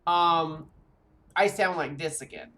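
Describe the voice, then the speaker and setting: A man in his thirties with a light, high voice, telling stories in animated, chatty gameplay talk. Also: slow pace. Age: 30s. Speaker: streamer. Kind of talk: casual gameplay chat. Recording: voice a bit off-mic with faint game music.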